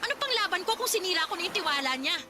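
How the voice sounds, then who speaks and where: A sharp-tongued pregnant young woman. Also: very high-pitched, expressive, very fast. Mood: bitter. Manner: sharp, scolding. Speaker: voice actress in radio drama. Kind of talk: radio drama acting. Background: music bed.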